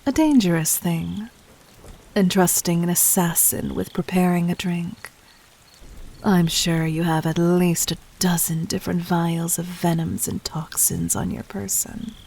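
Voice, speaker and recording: A woman playing a poised demon queen in an audio roleplay, speaking formally to the listener with teasing condescension and breathy, whispered touches. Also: on the low side, fairly even intonation, unhurried, darkish tone, a little hiss or hum. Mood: commanding, composed.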